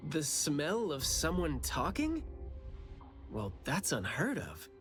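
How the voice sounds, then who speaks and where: A man's voice: a little high, slow, soft background music, heavily acted. A man playing a playful, wry young investigator in a game cutscene, talking casually and expressively. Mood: teasing, light. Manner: casual, teasing, animated. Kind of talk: game cutscene dialogue acting.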